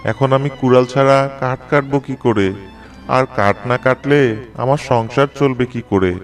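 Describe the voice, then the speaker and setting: Male actor as honest cartoon woodcutter, mid-pitched, plaintive, humble, a bit off-mic, music behind. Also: normal speed, recorded from a distance, lightly acted. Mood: distraught. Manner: earnest, plaintive. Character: honest, humble, hard-working poor woodcutter. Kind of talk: animated moral-tale cartoon acting.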